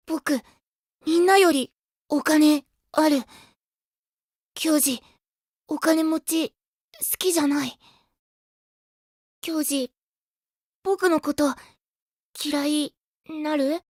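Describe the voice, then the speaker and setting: Innocent anime boy, very high voice, slow halting phrases, childlike, affectionate. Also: fairly even intonation, darkish tone, no echo, studio quality. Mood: hurt, worried. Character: innocent, cheerful teenage boy idol, affectionate foreigner. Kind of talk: anime game voice acting.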